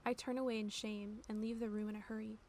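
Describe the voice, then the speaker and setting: Girl streamer reading a game out loud, kinda monotone, bit high voice. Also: quick pace, a little hiss or hum. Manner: calm, fairly monotone reading with chatty asides to viewers. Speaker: VTuber streamer. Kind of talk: reading game text aloud on a live stream. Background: game music.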